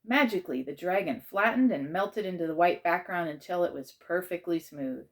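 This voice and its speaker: A woman with a slightly low voice reads a children's chapter book aloud. She narrates in the first person, in the style of a teacher reading to a class. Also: expressive, moderate pace.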